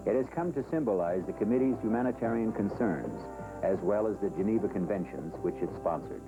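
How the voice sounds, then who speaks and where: An American man reading scripted training film narration in a formal, measured, solemn manner. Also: on the low side, expressive, muffled recording. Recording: 1970s film soundtrack with music. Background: background music.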